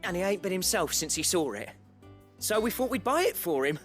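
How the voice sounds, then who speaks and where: An actor playing a friendly, cheeky working-class British sidekick in a video game, informal and eager. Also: male voice, sits high, sing-song, brisk, a bit bright, soft background music.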